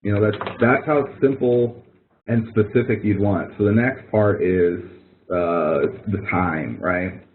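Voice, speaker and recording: A man's voice: on the low side, slow pace, a little hiss or hum. A man leading an instructional webinar, explaining things informally in a conversational, coaching way and often repeating phrases. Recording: thin phone-like webinar audio.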